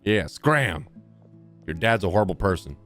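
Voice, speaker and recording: A man's voice: deep voice, animated, normal speed, a bit bright. A young man doing casual let's-play commentary, reading game text aloud and reacting with sarcastic asides over game music. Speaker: gaming streamer.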